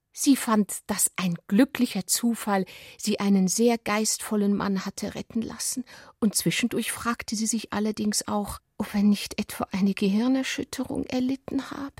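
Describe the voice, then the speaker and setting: A woman reading literary narration for a radio drama in a breathy voice that often drops near a whisper. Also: medium pitch, darkish tone, no room sound, studio quality.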